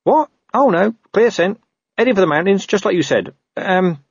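A man's voice: high voice, lively intonation, moderate pace, husky, no echo, highs cut off, pitched above the performer's own voice, exaggerated voice. A man voicing a scruffy, streetwise talking dog for an audiobook, with colloquial, hesitant, wheedling speech. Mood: matter-of-fact. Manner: colloquial, hesitant, working-class phrasing. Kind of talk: audiobook character voice.

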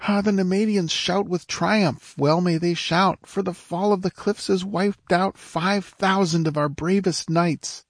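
A man voicing an excitable young squire in a fantasy audiobook, declaiming breathlessly. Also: sits high, a little slow, hardly any pauses, dry recording, voice pushed up. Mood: horrified. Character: young squire, frantic excitable lookout at a battle. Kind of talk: dramatic fantasy audiobook character voice.

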